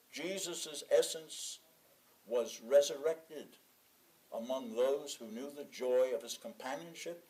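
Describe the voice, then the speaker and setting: A man reading a sermon from a prepared text in a measured, deliberate way, leaning on key phrases and pausing between them. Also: medium pitch, darkish tone, rough recording. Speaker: sermon reader in a church congregation.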